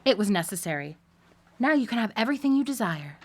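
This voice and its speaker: An actress in a live radio drama playing a coolly manipulative scientist doctor, coaxing and controlled. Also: female voice, medium pitch, sing-song, quick pace, a little mellow.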